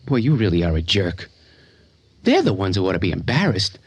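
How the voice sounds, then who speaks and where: An actor playing the blunt, taunting, impatient inner self of a grieving young man in a radio drama, with a husky voice. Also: sits low, huge pitch swings, fairly quick, exaggerated voice. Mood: impatient goading. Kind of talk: old-time radio drama acting.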